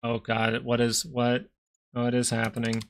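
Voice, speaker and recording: A man's voice: mid-range voice, completely flat delivery, normal speed. A man in his thirties hosting a live stock market stream, chatting casually between chart analysis and answers to viewer questions. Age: 30s. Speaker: stock market livestream host.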